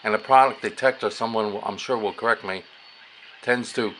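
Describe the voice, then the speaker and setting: A man with a fairly low voice talks through a hands-on demonstration in a casual, unscripted way. He explains as he goes, thinking aloud, with clearly audible noise behind him. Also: normal speed, sharp tone, low-quality recording. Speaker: amateur radio hobbyist demonstrating a receiver. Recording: clear background noise, radio static. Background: shortwave radio stations and static.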